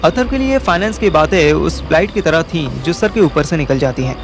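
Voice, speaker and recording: An actor plays a young Indian man recounting his schemes in an audio drama. His voice sits a little high, with a wry, colloquial delivery that turns placating in dialogue. Music runs clearly underneath. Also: expressive, fairly quick. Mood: wry narrating. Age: young adult. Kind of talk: first-person audio drama narration and dialogue in Hindi-English mix.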